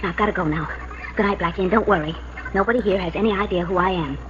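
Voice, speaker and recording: A woman's voice: lowish voice, very fast, close to an everyday voice. An actress playing a pert, playful young woman, a detective's sidekick, in a 1940s radio crime drama. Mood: polite, brisk. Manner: pert, bantering. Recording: muffled, noisy old radio recording.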